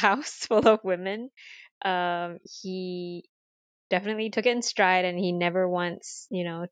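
Soft, flat-voiced woman in her thirties. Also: lowish voice, no room sound. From Malaysia. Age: 30s. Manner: reflective, many ums, soft trailing phrases. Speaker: guest, university dean. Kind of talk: conversational interview, telling her life story.

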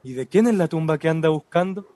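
A man's voice: fairly high pitch, lively intonation, fast, dark tone, no echo, lightly acted. A man with a Chilean accent acting in a radio drama as a respectful, superstitious cemetery worker. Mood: eager, smitten. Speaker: actor.

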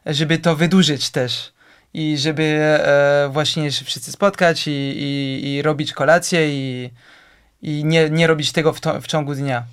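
Man, French accent, non-native speaker, smooth clear voice, slightly high pitch, hesitant, many fillers, interview guest. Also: fairly even intonation, unhurried, fairly bright tone. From France. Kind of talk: casual conversation.

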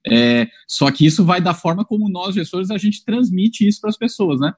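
A man talking in a measured way on a video call, ending with a question to his guest. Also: sits high, lively intonation, slightly rough, no echo, studio quality. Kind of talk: casual chat.

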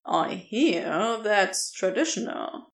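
A woman's voice: medium pitch, lively intonation, slow pace, roomy sound. A woman voicing a scrawny, worn older male thief with a wry, casual manner, in a storybook reading. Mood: hopeful, flirtatious. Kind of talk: audiobook character voice in fantasy romance.

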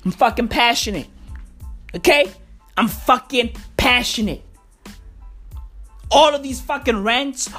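Woman podcast host, hoarse, loud, swearing nonstop, slangy, fairly high voice, faint music. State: hoarse, losing voice. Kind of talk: profane comedic rant.

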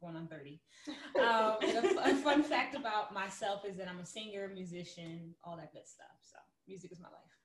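A woman in her thirties co-hosting a casual panel chat, bubbly and animated and often laughing. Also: medium pitch, moderate pace. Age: 30s. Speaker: co-host. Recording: echoey room.

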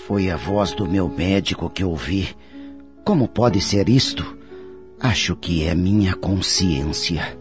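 An actor playing an anxious, troubled businessman in a radio soap, with a flustered, halting manner. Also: sits low, faint music behind. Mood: troubled, alarmed. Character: anxious, troubled businessman living with his mother. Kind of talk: radio soap opera acting.

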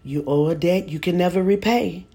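A woman's voice: on the low side, normal speed, warm tone, breathy edge, voice pushed up. A woman voicing a stern, harsh mother who scolds and taunts her son in a read-aloud memoir. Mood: accusing.

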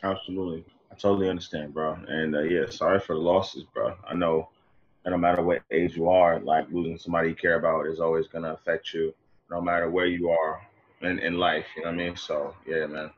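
A man's voice: low voice, little pitch movement, brisk, highs cut off. A man, a hip-hop artist, chatting casually as a podcast guest in a laid-back, slangy way. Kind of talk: casual interview chat.

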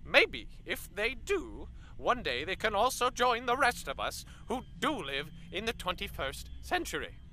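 A pompous professor, voiced by a man, lectures with lofty contempt for his peers. His voice sits high and swings widely as he sneers. The radio-drama recording carries a clear layer of noise. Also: sing-song, unhurried, hardly any pauses, sharp tone, exaggerated voice. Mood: condescending. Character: smug male professor, condescending expert. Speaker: scientist interviewed on air.

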